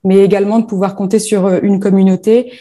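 A woman's voice: medium pitch, flat delivery, fast talker, mellow. A woman from northern France, founder of a bereavement platform, answering interview questions with frequent hesitations and fillers.

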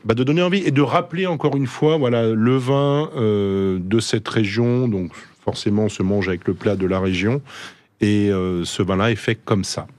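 A man from the Ardèche, a chef, talking as a radio guest in a relaxed, hesitant way. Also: lowish voice, normal speed, dry recording. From Ardèche, France. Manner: relaxed, hesitant, restarts phrases. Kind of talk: casual interview. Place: radio studio. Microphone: studio mic.

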